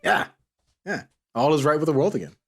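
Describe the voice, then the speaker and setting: A man's voice: on the high side, very animated, a little fast. A man hosting a casual group chat, teasing and bantering playfully with his co-hosts. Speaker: podcast host.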